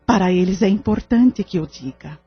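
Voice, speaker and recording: A woman's voice: mid-range voice, lively intonation, lightly acted. A woman with a Brazilian accent playing a serene, kindly séance leader, solemn and consoling in an audio drama. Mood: gentle, encouraging. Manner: solemn, gentle, measured, consoling. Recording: slightly muffled, music behind.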